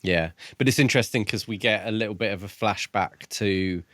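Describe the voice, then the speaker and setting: A man's voice: on the low side, a little fast, fairly bright tone, no room sound, clean studio sound. A man co-hosting a podcast, talking casually about books. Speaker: podcast co-host. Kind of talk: casual book discussion.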